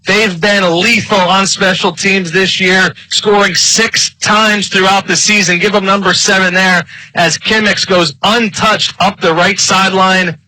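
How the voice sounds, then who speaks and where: A man's voice: high-pitched, steady tone, unhurried, hardly any pauses, pushed and bright, no echo, rough recording. An American man working as a color commentator, giving live analysis of an American football game.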